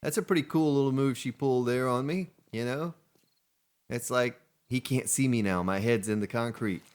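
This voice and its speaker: A man's voice: mid-range voice, moderate pace, darkish tone, smooth. A man casually commenting on his gameplay as a let's play host, chatting and joking with the characters on screen. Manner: chatty, jokes at game characters.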